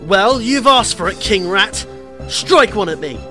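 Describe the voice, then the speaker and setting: A woman's voice: mid-range voice, animated, unhurried, music in the background, close to an everyday voice. A woman playing a brave, defiant young male hero in a radio pantomime, with earnest, declamatory delivery. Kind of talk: pantomime radio play acting.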